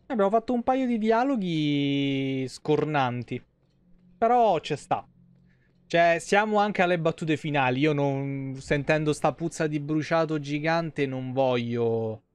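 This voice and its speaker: Higher-voiced Roman-accented man, streaming casually. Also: a little mellow, smooth, no echo. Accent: central Italian, Roman. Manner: colloquial, salty-tongued, thinking aloud. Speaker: game streamer, host. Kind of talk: casual live gameplay commentary. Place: indoor streaming setup at home.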